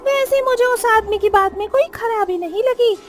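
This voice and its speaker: A woman's voice: very high-pitched, moderate pace, soft background music. An actress playing a sly, demanding, bloodthirsty witch wife in a Hindi horror cartoon, with theatrical delivery. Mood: persuasive.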